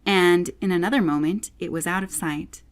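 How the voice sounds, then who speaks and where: A woman narrates a children's audiobook. Her voice sits a little low, and she delivers the scripted prose as a storyteller. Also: moderate pace.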